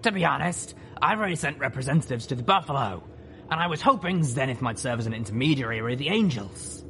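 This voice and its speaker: A gruff, assured older griffin woman, acted by a male narrator, leading mercenaries in a storybook tale. The delivery is folksy and animated, pitched somewhat above a man's usual talk. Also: fast talker, a little hiss or hum. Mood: businesslike.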